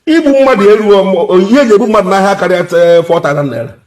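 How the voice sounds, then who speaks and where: Interviewee man, very fast, lively pitch, mic held at a distance. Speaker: interviewee, native doctor.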